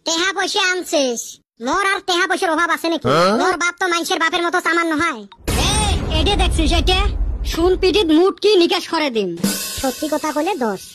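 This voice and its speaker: Very high childlike voice, excitable cartoon village boy, complaining banter, clear music backing. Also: brisk. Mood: bantering, indignant. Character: excitable village boy joking and bickering with friends. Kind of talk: Bengali comic cartoon dub.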